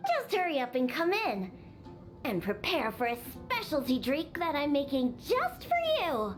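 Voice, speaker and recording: A woman's voice: high-pitched, very animated, measured pace, over music. A woman voicing a bossy, proud young cat-girl bartender in a video game, sassy and scolding. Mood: proud, insistent. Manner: sassy, boastful, matter-of-fact scolding.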